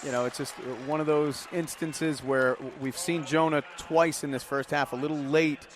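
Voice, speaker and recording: A man's voice: fairly high pitch, steady tone, moderate pace. A man giving live color commentary on a basketball broadcast, breaking down plays in a conversational, colloquial way. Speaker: color analyst. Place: indoor basketball arena. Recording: live arena broadcast with game noise.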